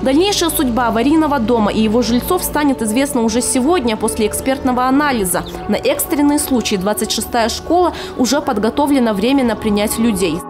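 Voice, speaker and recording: A woman's voice: fairly high pitch, expressive, fairly quick, hardly any pauses, over music. A woman reading a scripted news report as a television correspondent.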